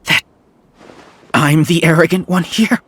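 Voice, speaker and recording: A man's voice: a little high, unhurried, fairly bright tone, slight background noise. A man voicing a bitter, resentful male scholar with a defensive way of talking. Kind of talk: game voice acting.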